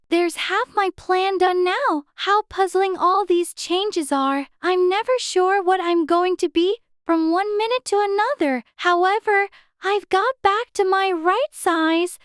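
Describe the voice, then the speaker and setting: A woman's voice: extremely high pitch, a little slow, dry recording, clean studio sound. A woman playing a curious little girl who muses aloud to herself in a dramatized storybook. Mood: musing, puzzled.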